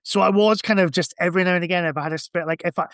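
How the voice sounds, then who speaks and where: Guy with a lightish high voice, rambly casual podcast chat, really dry sound. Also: fairly even intonation, very fast, fairly bright tone, dry recording. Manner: rambling, thinking aloud. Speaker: podcast guest or co-host.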